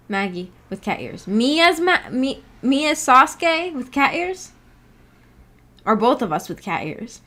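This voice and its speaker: A woman's voice: mid-range voice, a bit bright. A woman streamer chatting casually with viewers, reading out comments and rambling as she thinks aloud in a giggly way. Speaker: streamer, book club host. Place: indoor home streaming setup.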